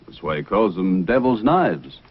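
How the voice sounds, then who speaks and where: Heroic police sergeant, deep voice, firm and commanding, old radio drama, narrow vintage sound with music. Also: male voice, very animated, unhurried, slightly rough, exaggerated voice. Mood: explaining, stern. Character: calm, authoritative Mountie sergeant, heroic and commanding. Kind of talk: old-time radio adventure acting. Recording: old band-limited radio recording, music.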